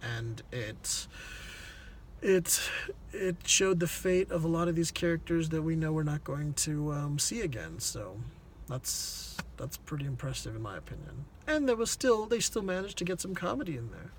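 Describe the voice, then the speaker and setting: A man with a fairly high voice talks through a film review in a casual, conversational way. He rambles and often stops to search for his words. There is some faint ambient noise behind him. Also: big pitch swings, moderate pace. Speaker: film reviewer on a video channel.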